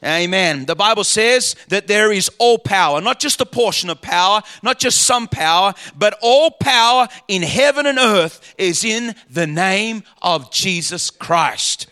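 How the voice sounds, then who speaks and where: Male preacher, slightly hoarse voice, high and bright, fervent sermon delivery. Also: expressive, a little slow, hardly any pauses. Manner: fervent preaching with repeated phrases. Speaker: pastor.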